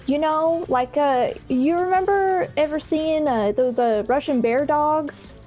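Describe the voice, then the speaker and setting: High-voiced eager woman pitching ideas. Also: measured pace, a little mellow, faint music behind. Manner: eager, playful, rambling ideas. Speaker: tabletop game player. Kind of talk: in-character casual banter.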